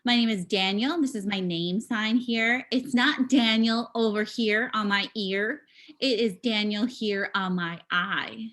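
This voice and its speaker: A woman giving a live spoken interpretation of a signing performer, speaking in halting bursts with pauses and restarts, over a video call. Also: medium pitch, fairly bright tone. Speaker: sign language voice interpreter.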